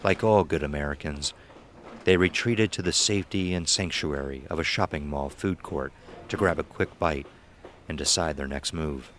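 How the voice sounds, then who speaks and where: A man's voice: extremely low pitch, a bit bright, slight background noise. A man reading a scripted horror story as narrator.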